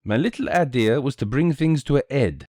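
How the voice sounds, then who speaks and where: A man's voice: lowish voice, sing-song, normal speed, darkish tone, dry recording, studio quality. A man voicing a small, cheerful, self-assured detective with a courteous, precise, theatrical manner, in a storybook reading. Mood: placid, courteous.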